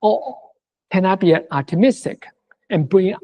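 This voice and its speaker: Classroom lecturer, native Mandarin speaker, unhurried delivery, middle-pitched voice, halting restarts. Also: animated, warm tone. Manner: halting, ends points with a checking "okay?". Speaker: university lecturer.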